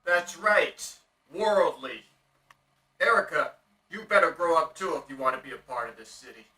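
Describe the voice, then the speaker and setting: A man's voice: on the high side, expressive, normal speed, bright, forceful tone, poor audio. A man voicing a sneering, arrogant young punk band leader in a motion comic. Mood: condescending.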